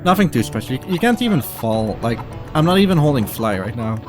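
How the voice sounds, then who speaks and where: A man giving casual live commentary as he speedruns a game, halting and repeating phrases as he goes. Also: mid-range voice, sing-song. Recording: game music behind the voice.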